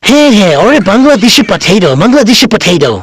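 A man's voice: high voice, big pitch swings, quick pace, husky, no echo, full character voice. A man voicing a fawning elderly politician in a comic cartoon, sweet-talking and pleading to placate a lover. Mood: scolding. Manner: wheedling, sweet-talking with pet names.

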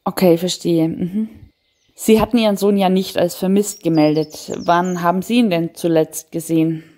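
A woman's voice: fairly low pitch, fairly even intonation, normal speed, dark tone, lightly acted. A woman playing a composed, wry homicide detective in an audio crime drama, matter-of-fact, polite and probing.

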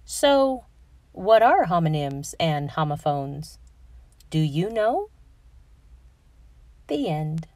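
Female children's read-aloud narrator, unhurried and very animated, careful diction, dry close sound. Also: deep voice, no room sound.

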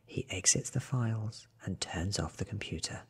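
A man reads a story in soft ASMR style, very deep and hushed. His voice is extremely breathy, often near a whisper, with a crackling fry underneath. He delivers each phrase slowly and deliberately. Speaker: reader. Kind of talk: soft-spoken ASMR audiobook reading.

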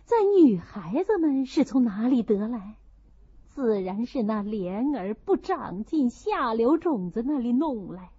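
A woman's voice: a little high, sing-song, unhurried, dark tone, breathy edge, full character voice. Woman acting a stern, tearful noblewoman, slightly muffled. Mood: tearful, accusing. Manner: weeping, sighing reproach. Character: stern middle-aged noblewoman, tearful and accusing.

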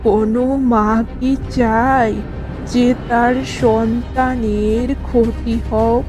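A woman voicing a kindly old village granny in a cartoon, speaking slowly, deliberately and reassuringly. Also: on the high side, flat delivery, low-quality recording. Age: older. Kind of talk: Bengali cartoon voice acting. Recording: music behind the voice.

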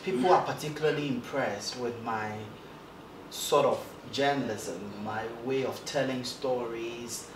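Light-voiced man in a roomy set. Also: unhurried. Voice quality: light, high-ish voice for a man. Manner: animated, gestures a lot, stammers on starts. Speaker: broadcast journalist guest. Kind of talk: casual interview talk. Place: indoor studio set, seated in armchair. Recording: roomy echo, distant mic, background noise.

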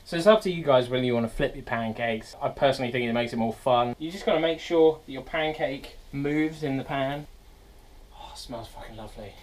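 A man's voice: mid-range voice, fairly quick. A young British man hosting a cooking vlog in his small home kitchen, swearing and laughing constantly. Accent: British English. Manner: profane, rowdy, laughs and yelps a lot. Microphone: fixed wide-angle camera across the room.

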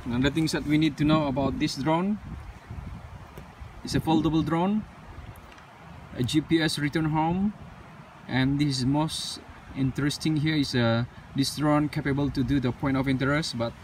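A man from Malaysia unboxing a drone, talking casually and haltingly, with many fillers and loose grammar. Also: on the high side, fairly even intonation. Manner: unscripted, halting, with frequent "uh" and non-standard English grammar. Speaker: drone reviewer. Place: outdoors on pavement. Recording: distant mic with outdoor street noise.

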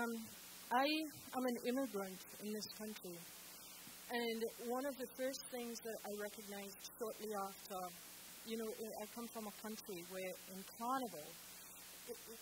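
A woman on a theatre stage panel, answering earnestly and firmly with halting restarts, into a handheld mic. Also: on the high side. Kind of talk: panel discussion response. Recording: hissy auditorium recording.

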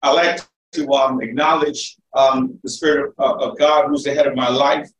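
Loud exhorting pastor, echoey church. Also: male voice, medium pitch, steady tone, normal speed, recorded from a distance. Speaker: pastor, guest speaker. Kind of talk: sermon opening. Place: church sanctuary at a pulpit. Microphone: far camera, speaking without mic.

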